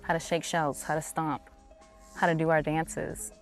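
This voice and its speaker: A woman singer speaks reflectively about home while standing outdoors by a house, sometimes rushing ahead in quick bursts. Her voice is low. Faint music sits under her words. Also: little pitch movement, vocal fry. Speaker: interviewed singer. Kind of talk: casual interview answers.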